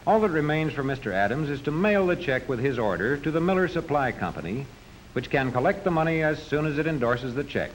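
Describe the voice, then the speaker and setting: Animated 1940s male educational film narrator. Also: fairly high pitch, fairly quick. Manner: didactic, clearly explanatory. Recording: noisy 1940s film soundtrack.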